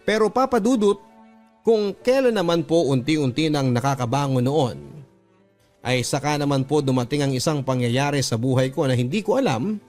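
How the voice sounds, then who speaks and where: Male radio drama narrator, earnest and measured, medium pitch, music underneath. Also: a little mellow. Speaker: radio drama narrator reading a listener's letter. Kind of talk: scripted dramatic narration of a confessional letter. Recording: clear music bed behind the voice. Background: background music.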